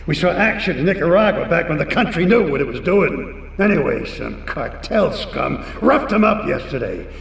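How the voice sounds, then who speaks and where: An actor playing a cynical, corrupt middle-aged cop who talks in a gruff, sneering street manner. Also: male voice, a little high, sing-song, moderate pace, reverberant, long reverb tail, far from the mic, slight background noise. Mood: scheming.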